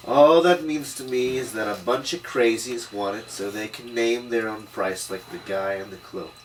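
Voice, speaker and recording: A man's voice: mid-range voice, moderate pace, lightly acted. A man playing a world-weary, middle-aged 1930s detective, dryly delivering terse hardboiled lines at a table read. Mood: wry, grim. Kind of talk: noir voice-over and dialogue at a table read. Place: indoor table read, recorded on camera. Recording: distant mic, faint room noise.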